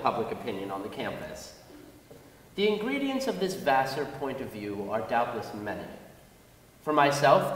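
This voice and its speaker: A young man giving a formal, measured dramatic reading of historical prose on stage. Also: on the high side. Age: young adult. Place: large concert hall stage, seated at music stand, before an audience. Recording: distant, very echoey concert hall.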